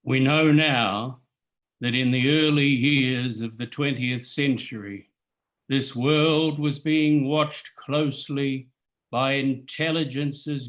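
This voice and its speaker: A man playing a grave narrator in a live reading of an old radio play, speaking in a solemn, measured and deliberate way. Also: mid-range voice, lightly acted.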